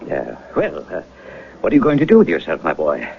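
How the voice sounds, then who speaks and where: Radio drama: avuncular old gentleman, effusive, deep animated voice, dull broadcast sound. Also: a little fast, creaky, slight background noise, full character voice. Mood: sympathetic. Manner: effusive, paternal. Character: genial, avuncular older club gentleman.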